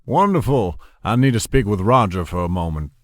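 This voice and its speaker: Man playing smooth scheming patriarch, controlled and condescending. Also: fairly low pitch, lively intonation, fairly quick, no echo, natural voice. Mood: patronizing, assured. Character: smooth, scheming, domineering father and blackmailer. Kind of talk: audio drama acting.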